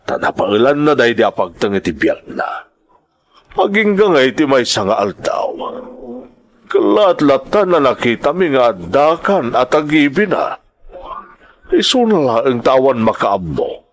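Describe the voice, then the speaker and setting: Grave uncle with a swinging pitch man acting. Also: big pitch swings, measured pace. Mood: grave, reluctant. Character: older uncle figure gravely revealing a family secret. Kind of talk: Ilocano audio drama acting.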